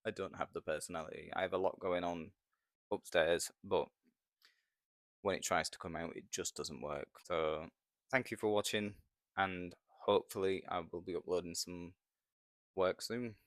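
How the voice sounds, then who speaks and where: A man's voice: sits low, fairly even intonation, moderate pace, dry recording, clean studio sound. A man in his thirties with a Yorkshire accent, talking hesitantly and self-consciously into a small microphone in his first vlog. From England. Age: 30s. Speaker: vlogger. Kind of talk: nervous first vlog to camera.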